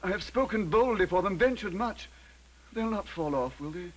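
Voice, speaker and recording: A man's voice: sits high, animated, moderate pace, slight background noise, low-quality recording. An actor playing an earnest, fanatical nobleman with a grandiose, solemn manner, in period radio drama style. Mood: fervent, solemn. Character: earnest, fanatical lord leading a crusade.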